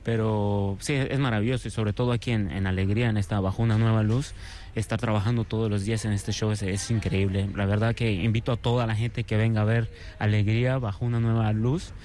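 Low-voiced Venezuelan man, casual guest. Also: fairly even intonation, a little fast, a little mellow. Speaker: circus artist, interview guest. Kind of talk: casual conversational interview.

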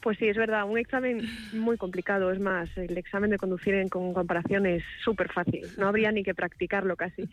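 A woman's voice: mid-range voice, a little fast, few pauses, highs cut off, soft background music. A woman in her thirties, a sommelier, answering, thoughtful and full of small restarts. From Galicia, Spain. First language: Galician. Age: 30s. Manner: thoughtful, restarts often, even delivery. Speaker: sommelier interviewee. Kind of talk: radio interview answers.

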